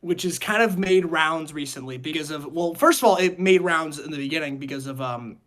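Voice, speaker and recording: A man hosting a casual chat show, talking in a rambling, halting way and often repeating his words. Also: a little high, a bit bright. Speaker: podcast host.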